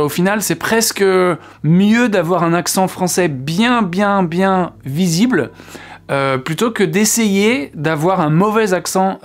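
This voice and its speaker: A man, a French speaker, hosting a casual video lesson. He talks chattily, fills gaps with hesitations and keeps asking the viewer questions. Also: high-pitched. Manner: chatty, asks the viewer questions, many fillers. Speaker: English teacher, channel host.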